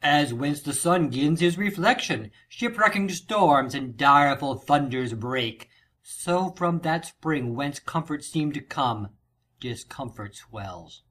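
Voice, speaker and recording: A man's voice: fairly high pitch, expressive, takes it slowly, fairly bright tone, exaggerated voice. A man playing a wounded soldier reporting on battle in declamatory Shakespearean verse for an audiobook drama. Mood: grim, fervent.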